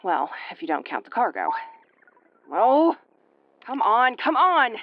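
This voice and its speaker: A woman's voice: on the high side, big pitch swings, slow, highs cut off, a little hiss or hum. A woman playing an injured, sarcastic starship first officer in an audio roleplay, with a wry, exasperated tomboyish delivery. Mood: frustrated. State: woozy and battered. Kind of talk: audio roleplay acting, recorded log monologue.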